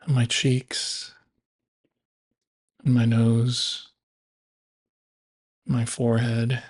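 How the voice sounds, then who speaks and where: A man guiding a body scan meditation in a measured way, leaving long pauses between phrases. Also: medium pitch, completely flat delivery, breathy edge. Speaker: meditation guide.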